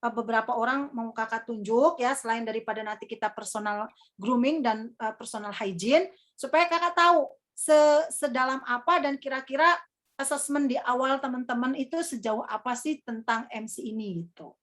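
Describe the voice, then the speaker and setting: Young woman, high-pitched, easygoing trainer with a rambling, filler-heavy delivery. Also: moderate pace, fairly bright tone. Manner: chatty, calls herself Kakak, many fillers. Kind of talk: casual workshop teaching.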